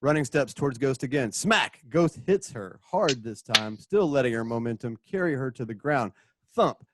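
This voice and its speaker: Mid-pitched man narrating radio drama action. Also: animated, normal speed. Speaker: narrator and director reading stage directions. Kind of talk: radio drama narration, vocalised sound effects.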